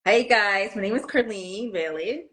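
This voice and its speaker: A woman's voice: medium pitch, measured pace. A middle-aged woman from Toronto, telling stories animatedly in casual chat and acting out quotes. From Toronto, Canada. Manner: animated storyteller, acts out quotes. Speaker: guest.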